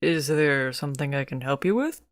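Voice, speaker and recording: A woman voicing a friendly young male clinic receptionist in a narrated story, soft, polite and helpful. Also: extremely low pitch, animated, moderate pace, no echo. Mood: gentle. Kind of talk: scripted audiobook character dialogue.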